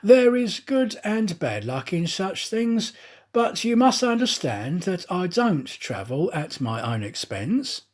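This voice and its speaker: A man's voice: high-pitched, sing-song, takes it slowly, voice pushed up, heavily acted. A man voicing an uneasy, evasive detective who hides his purpose, in an audiobook. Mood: evasive, embarrassed. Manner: hesitant, evasive.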